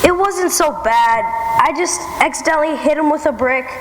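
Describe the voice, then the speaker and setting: A woman's voice: high voice, normal speed, close to an everyday voice. A woman playing a mischievous, sulky little schoolboy in old-time radio comedy style. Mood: cheeky. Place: live theater stage radio show. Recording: echoey hall, live stage with music.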